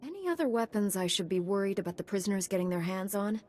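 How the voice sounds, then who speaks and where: An actress playing a composed, dutiful female knight in a video game, measured and matter-of-fact, with faint background noise. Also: mid-range voice, very animated, darkish tone, lightly acted. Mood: composed, resolute.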